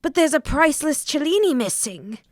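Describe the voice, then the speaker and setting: Client role: woman acting flustered rich gentleman, urgent and formal, higher register, dry radio-mystery sound. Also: very animated, moderate pace, no echo. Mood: agitated. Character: Lytton Stanley, agitated wealthy gentleman, robbed rival. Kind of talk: old-fashioned detective radio drama acting.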